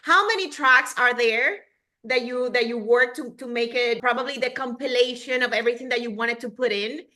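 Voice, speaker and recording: Bright-voiced woman interviewer. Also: a little high, moderate pace. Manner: long, winding questions with restarts. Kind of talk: interview questions.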